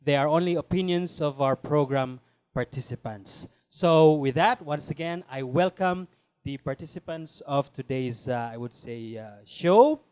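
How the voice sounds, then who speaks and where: Man, talk show host, smooth clear voice, slightly high, hesitant, many fillers, studio. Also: a little slow, darkish tone.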